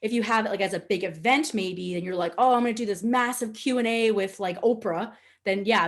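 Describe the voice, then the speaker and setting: A woman with a Nova Scotian Canadian accent teaching an informal online class in an animated, candid, jokey and chatty way. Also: mid-range voice. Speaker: social media instructor. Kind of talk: informal webinar teaching with casual asides.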